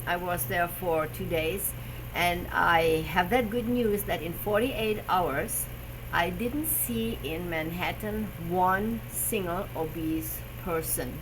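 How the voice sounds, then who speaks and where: A woman's voice: on the low side, slow pace, noisy background. A woman with a German accent, hosting a live stream as a personal trainer, chatting about health with frequent fillers while searching for English words. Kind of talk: casual live stream talk.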